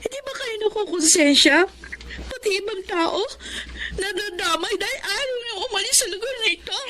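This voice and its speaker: A young woman with a very high, bright voice, a wounded yet firm daughter in a radio melodrama. She speaks emphatically, pleading and standing her ground with open emotion. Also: lively intonation, normal speed, exaggerated voice. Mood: anguished, pleading. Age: young adult. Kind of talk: melodramatic radio drama acting.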